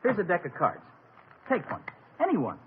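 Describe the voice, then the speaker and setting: A man's voice: high voice, huge pitch swings, moderate pace. An actor playing a polite, casual young man in a 1950s radio comedy. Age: young adult. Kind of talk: 1950s radio drama acting. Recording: tinny old radio broadcast with faint hiss.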